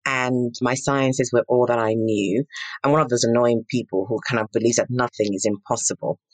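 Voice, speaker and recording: A middle-aged woman from Nigeria, telling her story as an interview guest in an animated, emphatic, self-assured way. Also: rumbling low, normal speed, dry recording, low-quality recording. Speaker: guest, entrepreneur. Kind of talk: interview answers.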